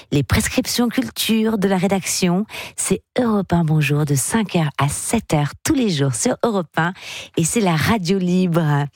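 Low-voiced woman hosting a night radio show. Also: darkish tone, dry recording, studio quality. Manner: chatty, talks things through with listeners. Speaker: radio call-in host. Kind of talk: late-night radio call-in chat. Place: radio studio. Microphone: studio microphone.

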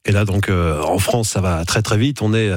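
A man hosting a casual radio interview, chatting in an unhurried way with many hesitations. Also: on the low side, no room sound, studio quality. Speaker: radio host. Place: radio studio. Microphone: studio microphone.

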